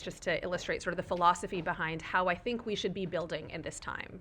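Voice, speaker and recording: Bright-voiced woman, native Urdu speaker. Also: fairly low pitch, a little hiss or hum. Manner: thoughtful, expansive, frequent "right?" and "like". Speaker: guest speaker, foundation leader. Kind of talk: live fireside chat before an audience. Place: event room with audience.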